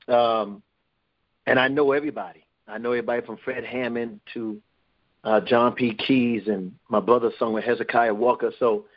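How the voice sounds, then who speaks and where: Rambling New man, muffled line. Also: mid-range voice. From New York. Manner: rambling with many restarts. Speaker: guest. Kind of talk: casual interview talk.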